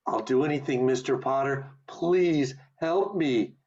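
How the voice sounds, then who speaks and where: A man's voice: medium pitch, a little slow, darkish tone, breathy edge. A man playing a desperate, agitated family man in a radio play. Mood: desperate, pleading. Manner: agitated, pleading. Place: home recording over video call. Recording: dull lo-fi video call audio.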